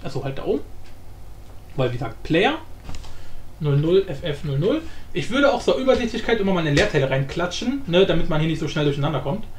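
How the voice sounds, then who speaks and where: A man presenting a software tutorial casually, with informal, jokey asides and frequent self-interruptions. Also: a little high, sing-song, brisk. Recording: roomy echo, faint background noise.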